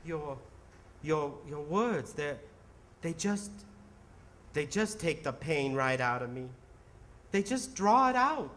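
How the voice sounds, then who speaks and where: An actor playing a distraught, bitter and weary ambulance driver in old-time radio theatre, with an emotional, halting, stammering delivery. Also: male voice, a little high, expressive, fairly bright tone, a little hiss or hum. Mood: halting, awed.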